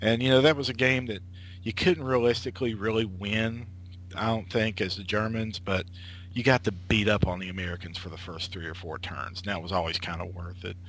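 A man's voice: on the low side, fairly even intonation, a little fast, a bit bright, a little hiss or hum, rough recording. A man in his late thirties, a guest chatting casually over an internet call, rambling with lots of filler words and restarts. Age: late 30s. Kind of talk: casual conversation.

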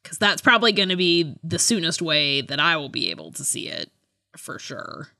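Low-pitched, bright-voiced woman chatting casually. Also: sing-song, normal speed, gravelly, no room sound, studio quality. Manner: chatty, rambling, trails off and hedges. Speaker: podcast co-host. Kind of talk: casual fan chat.

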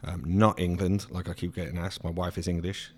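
A Welsh man with a low voice talking casually as a guest. He rambles a little, with ums and restarts as he tells his story. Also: flat delivery, a little fast. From Wales. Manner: chatty, with many ums and restarts. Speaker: guest, car dealer. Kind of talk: casual chat.

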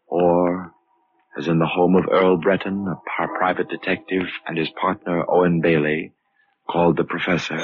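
A mysterious, solemn narrator of a 1940s radio drama. The voice is deep and slow, set against clear music on a muffled old recording. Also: male voice.

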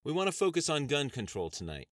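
A man's voice: on the high side, big pitch swings, quick pace, no echo, clean studio sound, voice pushed up. A man doing a brassy female TV host. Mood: announcing. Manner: bright, announcing broadcast delivery.

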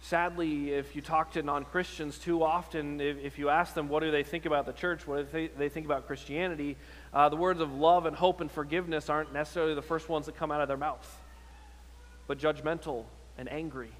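A man's voice: a little high, fairly even intonation, brisk, a bit bright. A man preaching a sermon as a pastor, earnest and exhortative, posing rhetorical questions and often repeating his phrases. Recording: long hall reverb on sermon audio.

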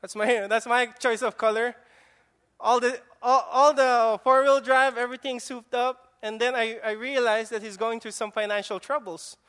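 A male preacher with a Filipino accent delivers a sermon in a noticeably high voice. He stresses his points emphatically, often repeating words and restarting his sentences. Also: steady tone, normal speed, bright, forceful tone. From Philippines. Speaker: pastor.

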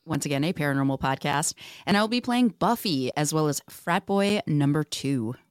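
A woman's voice: sits low, lively intonation, normal speed, no room sound, studio quality. A woman chatting casually as a guest on a podcast. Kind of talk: casual chat.

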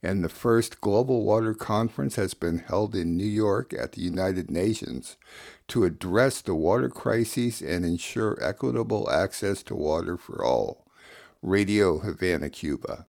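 A man hosting a radio show, reading scripted links and summaries in a gravelly voice. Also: low-pitched, lively intonation, unhurried, a little mellow.

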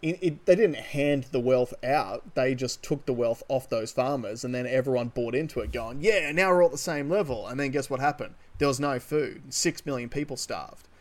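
A man's voice: medium pitch, moderate pace, hardly any pauses. A man co-hosting a podcast, giving his opinions in casual, insistent, sweary talk. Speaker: podcast co-host.

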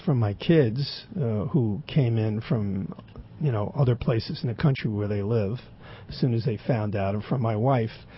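An older man with a raspy voice, telling a rambling personal story with dry, wry humor and many fillers. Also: mid-range voice, lo-fi sound. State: throat occasionally closing up.

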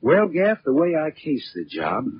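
An actor playing a hardboiled, sardonic private detective in a 1940s radio drama, clipped, slangy and wisecracking. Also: male voice, fairly high pitch, wildly swooping pitch, unhurried, exaggerated voice. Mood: coolly accusing. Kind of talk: 1940s radio detective acting with first-person narration. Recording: muffled, noisy late-1940s radio recording.

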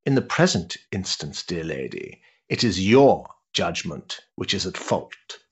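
A worldly older duke, played by a male actor, speaks in a low, unhurried voice with a husky edge. His period-drama delivery is pompous, ornate and drily sardonic. The recording is somewhat muffled. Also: big pitch swings, full character voice. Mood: suave, condescending. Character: urbane, cynical older English aristocrat, a duke and uncle. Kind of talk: period stage-drama acting.

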